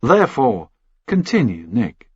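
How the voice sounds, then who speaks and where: A man voicing a cold, formal Texan official in an audiobook, measured, condescending and threatening. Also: medium pitch, huge pitch swings, a little mellow, slightly rough, dry recording, lo-fi sound, exaggerated voice.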